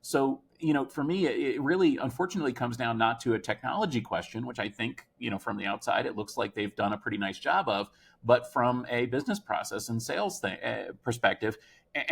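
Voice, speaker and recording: Male analyst, gravelly vocal fry, off-the-cuff commentary, halting delivery with frequent pauses. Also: medium pitch, expressive. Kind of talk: unscripted commentary.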